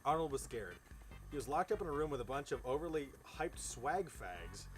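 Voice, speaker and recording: A man's voice: mid-range voice, very animated, normal speed, over loud music. A man casually reading a story aloud outdoors, stumbling over words and breaking off into informal, profane asides. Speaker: host reading fan fiction aloud. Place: outdoors beside a fire.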